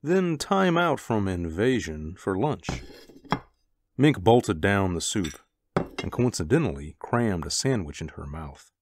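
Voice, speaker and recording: A man's voice: low-pitched, big pitch swings, measured pace, background noise, full character voice. A man playing the wry storyteller in a radio drama, narrating dramatically from a script. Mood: dramatic, wry.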